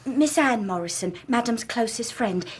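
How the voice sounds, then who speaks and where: A high-voiced anxious housemaid. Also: female voice, animated, normal speed, slightly rough. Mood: anxious, respectful. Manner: deferential, hesitant, earnest. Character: deferential, anxious female Victorian housemaid. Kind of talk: radio drama acting.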